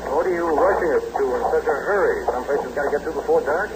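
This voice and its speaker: A man's voice: on the high side, fast talker, close to an everyday voice. A man playing a folksy adventurer. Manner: folksy drawling banter. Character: folksy male adventurer, easygoing and joking. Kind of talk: 1940s radio acting. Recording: hissy old radio transcription.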